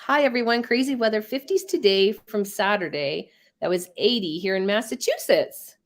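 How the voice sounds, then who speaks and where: A woman's voice: medium pitch, normal speed. Congested woman chatting on a live stream. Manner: chatty, rambling, laughs often. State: congested from a head cold. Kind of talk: casual live stream chat, reading viewer comments.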